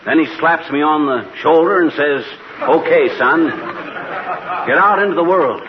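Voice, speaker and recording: An actor playing a blustering, boastful, middle-aged husband in a 1940s radio comedy. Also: male voice, on the high side, normal speed, a loud crowd, lightly acted. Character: blustering, boastful, jealous husband, teller of tall tales. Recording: muffled, very noisy 1940s radio recording.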